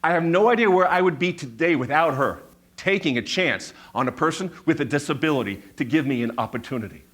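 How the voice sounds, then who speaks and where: A man in his fifties telling a personal story on stage, with a stutter that repeats sounds and words, building his points through measured, emphatic repetition. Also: a little high, a bit bright. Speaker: speaker giving a talk.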